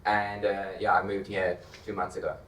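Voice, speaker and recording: A South African man on a conference stage talks in a low, unhurried voice, often repeating words while he works out his point. The sound comes from a distance, full of hall echo. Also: a little hiss or hum. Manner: relaxed, thinking aloud with repeated words. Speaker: panelist, startup co-founder. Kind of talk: conference panel talk. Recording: echoey hall, mic heard from far off.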